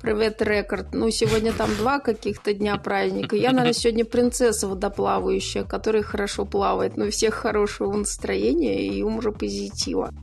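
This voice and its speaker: A woman's voice: medium pitch, a little fast, creaky, few pauses, a little mellow, soft background music. A woman leaving a casual voice message as a radio listener.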